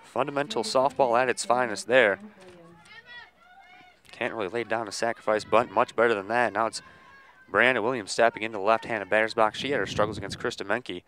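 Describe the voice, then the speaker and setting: Bright-voiced male radio play-by-play announcer. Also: on the low side. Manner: measured between plays, bursting into excited calls on big plays. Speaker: play-by-play sports commentator. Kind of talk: live radio softball play-by-play commentary.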